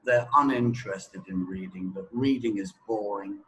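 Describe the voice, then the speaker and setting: A middle-aged man giving opinionated, deliberate answers with long pauses in a conversational interview. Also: lowish voice, fairly bright tone, a little hiss or hum, low-quality recording. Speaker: English teaching expert being interviewed.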